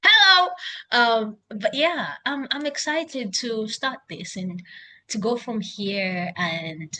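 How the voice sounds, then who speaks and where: A woman's voice: fairly high pitch, lively intonation, takes it slowly, pushed and bright. A young woman with a Kenyan accent talking casually to her laptop at home, thinking aloud in an unscripted, self-encouraging video diary. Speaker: video diarist. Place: indoors at home, by a couch.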